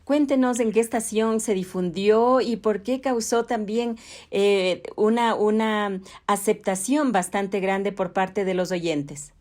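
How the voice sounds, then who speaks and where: Ecuadorian woman interviewer, medium-pitched voice. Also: takes it slowly, darkish tone. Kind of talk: conversational interview questioning.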